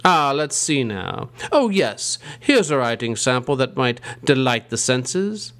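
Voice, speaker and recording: A man playing a ghoulish, gleefully punning college dean who hosts a campy horror show with theatrical, drawn-out delivery. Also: medium pitch, very animated, fairly bright tone. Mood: gleefully macabre.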